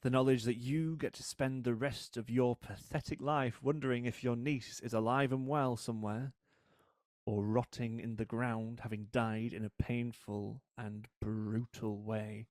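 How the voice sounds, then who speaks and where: An actor in an audio drama playing a taunting, cold, vengeful British brother who speaks in a mocking, unhurried way. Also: male voice, mid-range voice, fairly even intonation, darkish tone, dry recording, lightly acted. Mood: gloating, menacing.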